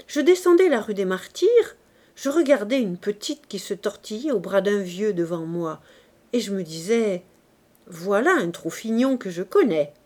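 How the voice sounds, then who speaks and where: A boastful, sly man in his prime speaks loudly and teasingly, as a woman reading the story puts him on. His voice rides high and bold as he spills gossip, for a storybook reading.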